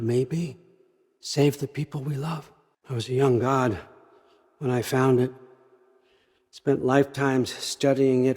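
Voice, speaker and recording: A man's voice: medium pitch, a little mellow, natural voice. An older American man acting in a video game as a scheming, controlling old father god, wry, folksy and conversational as he manipulates. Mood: intense, obsessive.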